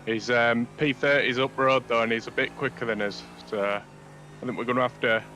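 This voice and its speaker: A man's voice: mid-range voice, steady tone, normal speed, bright, forceful tone, slight background noise. A man chatting with his racing teammates, modest and easygoing, laughing at his own mistakes. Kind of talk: casual chat on voice call.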